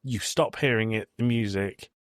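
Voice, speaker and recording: Male podcast co-host, lower-pitched voice, casual film discussion, very dry recording. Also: normal speed, clear, resonant tone, no echo.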